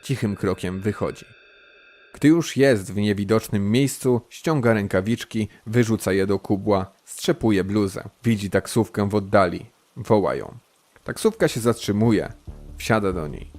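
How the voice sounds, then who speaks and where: A man's voice: lowish voice, measured pace, a little mellow. A man reading scripted present-tense narration for an audio drama.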